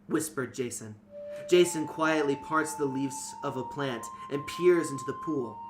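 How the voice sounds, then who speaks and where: A man's voice: medium pitch, unhurried, over music. A man reading a fantasy novel aloud as narrator, in an informal scripted storytelling style. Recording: noticeable background noise.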